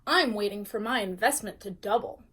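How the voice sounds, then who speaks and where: A woman's voice: medium pitch, brisk, fairly bright tone, slightly rough. A woman voicing a smug, precise, money-minded little schoolgirl in a children's storybook.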